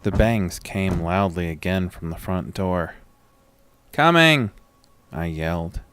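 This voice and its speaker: An actor playing a sick, groggy man who tells his own horror story in a weary, measured way. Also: deep voice, lively intonation, a bit bright, clean tone, no echo, exaggerated voice. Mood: startled. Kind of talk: first-person scripted horror narration with acted dialogue.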